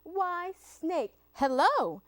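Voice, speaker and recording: A little male mouse voiced by a woman from a children's storybook, high and very animated. He is cunning and boastful, exclaiming brightly as he brags. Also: extremely slow, no echo, full character voice. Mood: cheery.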